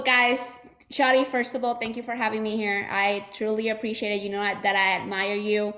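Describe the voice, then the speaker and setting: A woman's voice: mid-range voice, a bit bright. A woman in her twenties with a Puerto Rican accent, giving a chatty motivational training talk to her team with many fillers and restarts. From Puerto Rico. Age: 20s. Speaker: insurance agency owner giving a talk.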